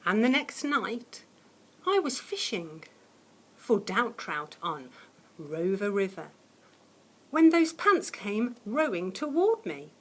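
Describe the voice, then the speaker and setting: A woman reading a children's picture book aloud as a teacher would, expressively and dramatically, with hushed suspense and swells of emphasis. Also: medium pitch, sing-song, slow, pauses often. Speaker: primary school teacher reading a story to children.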